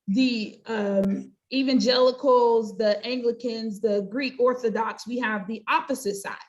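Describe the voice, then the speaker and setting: Woman, middle-aged, American accent, higher-pitched voice, conversational interview guest, emphatic and chatty. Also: a bit bright. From United States. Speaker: guest: pastor, counselor and coach. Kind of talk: conversational interview, explaining own work.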